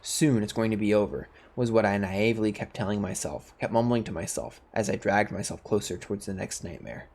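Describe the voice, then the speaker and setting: A man's voice: fairly low pitch, brisk, hardly any pauses, a little mellow. A man who hosts gaming videos, reading story text aloud and commenting casually.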